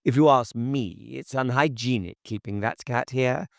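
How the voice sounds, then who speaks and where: A man voicing a nosy, bustling older English housekeeper in a high, reedy, loud voice for an audiobook. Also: moderate pace, vocal fry, no echo, studio quality, lightly acted. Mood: disapproving. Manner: shrill, gossipy, indignant chatter. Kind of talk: audiobook character voice.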